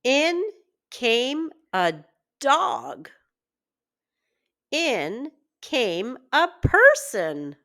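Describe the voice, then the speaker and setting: A woman teacher reading a children's story aloud with deliberate, word-by-word phrasing for early readers. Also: high voice, big pitch swings, creaky, fairly bright tone. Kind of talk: children's story read-aloud, scripted narration.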